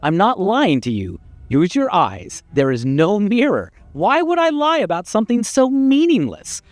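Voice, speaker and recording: A man's voice: sits high, huge pitch swings, moderate pace, no room sound. A man playing a condescending, exasperated narrator in a video game, articulate and dryly sardonic. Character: exasperated, pompous male narrator voice guiding the hero.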